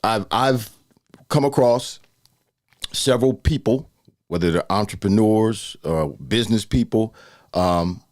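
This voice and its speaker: A man in his fifties hosting a casual studio interview, loud, playful and talkative. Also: on the low side, a little slow, pauses often, no echo. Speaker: podcast host. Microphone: studio mic.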